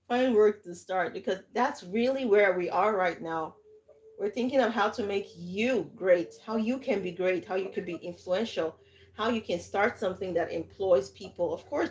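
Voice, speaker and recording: Nigerian woman, livestream host, emphatic and repetitive, mid pitch. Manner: lively, emphatic, repeats herself. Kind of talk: casual advice chat on a livestream.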